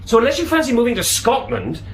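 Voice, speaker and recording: An actor playing an eager, wheedling young husband in a radio drama. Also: male voice, very high voice, wildly swooping pitch, fast, soft background music, exaggerated voice. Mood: pleading. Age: young adult. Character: young husband, evasive and persuasive.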